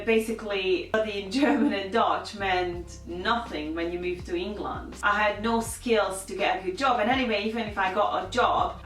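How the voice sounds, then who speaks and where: A woman's voice: mid-range voice, a bit bright. A woman in her thirties from Hungary with a Hungarian accent, candidly telling her life story as a vlogger with many fillers and restarts. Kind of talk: personal life story to camera. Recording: roomy sound, mic at a distance, faint music.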